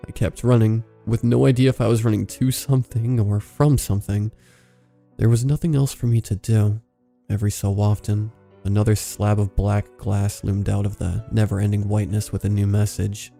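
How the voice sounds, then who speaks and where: A man narrating a scripted horror story in a measured, serious way. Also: on the low side, little pitch movement, vocal fry, mellow, soft background music.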